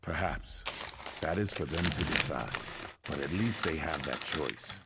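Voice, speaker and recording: An actor voicing a solemn, proud warrior in a fantasy video game, speaking gravely and formally. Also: male voice, very deep voice, expressive, measured pace, very noisy, full character voice. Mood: grave, respectful. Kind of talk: video game character dialogue.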